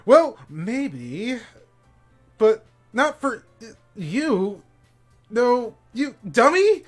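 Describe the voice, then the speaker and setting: A man's voice: extremely high pitch, very animated, drawn-out words, soft background music, pitched above the performer's own voice, exaggerated voice. A man voicing a prickly, defensive teenage girl who answers in huffy, snappish bursts.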